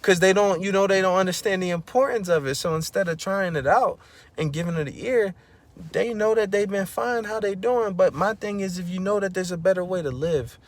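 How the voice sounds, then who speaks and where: Man, casual motivational vlogger; slang-heavy and preachy, pitched a bit high, spikes loud when excited. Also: fairly quick. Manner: slangy, preachy, repeats "bro" and "you know what I'm saying".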